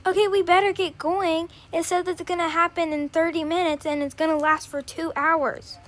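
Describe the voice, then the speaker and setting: Woman as a high-voiced worried, bossy parent. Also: level tone, normal speed. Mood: urgent worry. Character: worried parent taking charge of the family.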